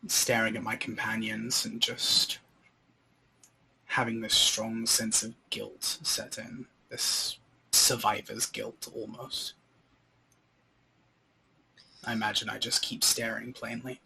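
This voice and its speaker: A man's voice: on the low side, monotone, measured pace, gravelly, sharp tone, slightly rough, poor audio. A man playing a tabletop game, describing his character's actions in the first person in a tentative, reflective way.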